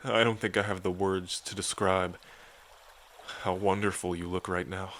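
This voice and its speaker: A man's voice: deep voice, flat delivery, moderate pace, a bit bright, a little hiss or hum. A man playing an affectionate dragon king in an intimate ASMR roleplay, speaking in a casual, tender way to a lover. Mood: tender, adoring. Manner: tender, casual, hesitant when moved.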